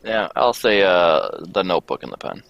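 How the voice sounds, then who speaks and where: A man's voice: sits low, sing-song, normal speed, gravelly, dull audio, a crowd in the background. A man chatting casually and jokily as a quiz contestant. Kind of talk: casual joking chat.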